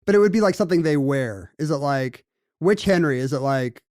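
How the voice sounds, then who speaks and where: Man, podcast co-host, wry and quick, fairly high pitch, dry studio sound. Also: animated, a little mellow, dry recording. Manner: quick, wry, explaining facts. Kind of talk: casual chat.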